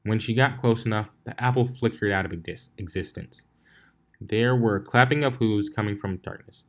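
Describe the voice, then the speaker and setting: A man's voice: on the low side, moderate pace. A man reading a story aloud as its narrator, in scripted narration. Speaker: fan fiction reader, narrator.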